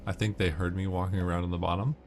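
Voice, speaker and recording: A man's voice: sits low, little pitch movement, quick pace, faint music behind. A man giving casual, matter-of-fact gameplay commentary, explaining tactics as he goes. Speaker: gamer giving walkthrough tips. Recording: noisy, game gunfire behind voice. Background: video game gunfire and ambience.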